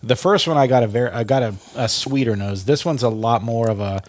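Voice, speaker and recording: A man's voice: medium pitch, brisk, no room sound. A man co-hosting a podcast, talking loosely and circling back on himself as he tells stories. His voice is a touch stuffy and nasal today. The recording is dry and close. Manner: rambling storyteller, many restarts and laughs. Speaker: podcast host. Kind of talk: casual tasting chat.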